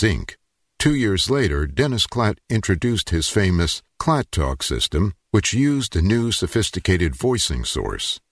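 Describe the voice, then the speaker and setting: Older male narrator, measured and explanatory, lively pitch, dry close sound. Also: dry recording. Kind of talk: scripted documentary narration.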